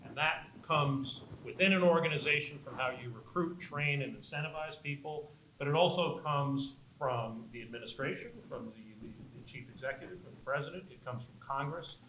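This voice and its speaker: Measured diplomat in a roomy hall. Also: male voice, mid-range voice. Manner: measured, repeats words as he gathers thoughts. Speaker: Foreign Service officer on a panel. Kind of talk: panel answer. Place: auditorium. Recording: roomy hall with faint noise.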